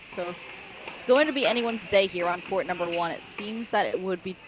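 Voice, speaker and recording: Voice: medium pitch, brisk, noisy background. A color commentator giving agreeable, analytical remarks during a live college tennis broadcast.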